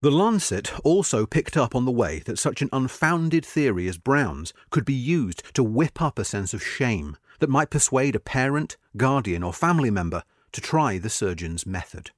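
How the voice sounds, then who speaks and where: A man reading a history article aloud as scripted narration, measured and expressive with marked emphasis. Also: medium pitch, no room sound, clean studio sound.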